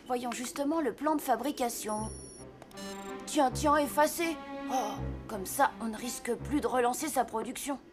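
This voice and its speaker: A woman's voice: fairly high pitch, normal speed, lightly acted. A woman voicing a kind-hearted, eager young boy, an apprentice Santa in a cartoon, earnest and pleading in manner. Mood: curious. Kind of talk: animated cartoon dialogue. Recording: light music behind the voice.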